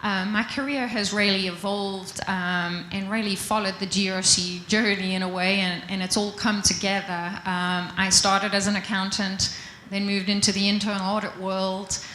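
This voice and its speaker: Woman, South African accent, bright, steady level delivery, echoey conference room. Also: mid-range voice, moderate pace, slight background noise. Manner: steady, composed, lightly hesitant with fillers. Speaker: panelist, risk consultant. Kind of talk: conference panel self-introduction. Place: conference hall.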